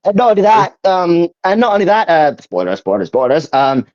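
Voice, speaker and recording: A man's voice: high voice, big pitch swings, brisk, darkish tone, no echo. A man chatting casually as a co-host, rambling and repeating phrases as he gives his opinions. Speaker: co-host ranking video game powers. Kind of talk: casual chat, giving opinions. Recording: dull, lo-fi voice audio.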